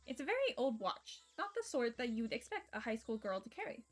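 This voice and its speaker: A woman's voice: a little high, very animated, a little fast, faint music behind. A young woman streamer narrating a visual novel aloud, reading casually and breaking off into laughs and swearing.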